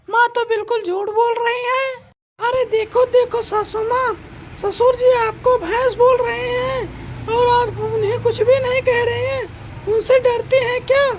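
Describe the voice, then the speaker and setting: A woman's voice: very high-pitched, steady tone, measured pace. Woman as a defiant young daughter-in-law. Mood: argumentative. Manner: defiant, argumentative. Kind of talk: comic family sketch acting. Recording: loud backing music.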